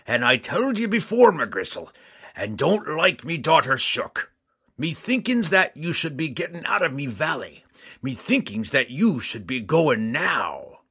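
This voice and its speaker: A man voicing a gruff, proud old male dwarf who talks loud and slow. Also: a little high, sing-song, voice pushed up, heavily acted. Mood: stern.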